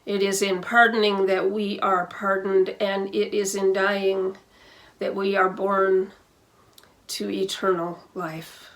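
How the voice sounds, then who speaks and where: A woman minister leading a guided prayer, measured and deliberate, pausing between phrases, with music behind her. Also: mid-range voice, little pitch movement, fairly bright tone, low-quality recording. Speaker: minister leading a church service. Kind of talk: slow guided prayer and benediction.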